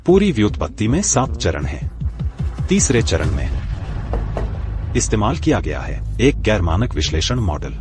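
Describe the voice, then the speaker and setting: A man plays a young man who takes a cheater apart one fact at a time, in a low, cold voice that still rises and falls a lot. He sounds mocking and precise. Faint music runs under him. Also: very animated, a little slow, long pauses. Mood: accusing. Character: young man exposing a thief, calm and cutting. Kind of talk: Hindi dub of a drama.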